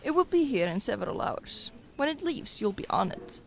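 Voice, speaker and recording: A woman's voice: a little high, sing-song, moderate pace, gravelly. A woman playing a cool, commanding Soviet sniper lieutenant in a radio drama. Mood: businesslike.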